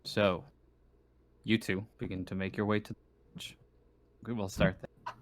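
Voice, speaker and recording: A man with a slightly low voice acts as game master for a tabletop roleplaying game. His delivery is informal and conversational, moving between explanation, scene narration and joking. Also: level tone, measured pace, no echo.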